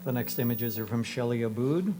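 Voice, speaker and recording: A man's voice: medium pitch, brisk, mellow, slight background noise. A man giving short scripted introductions as presenter of a photography club show. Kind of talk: scripted announcing.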